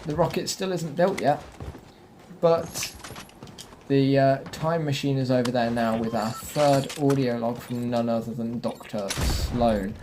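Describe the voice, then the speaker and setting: Male gaming host, mid-pitched, casual commentary, clear vehicle and game noise underneath. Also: a little slow. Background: game ambience and vehicle noise.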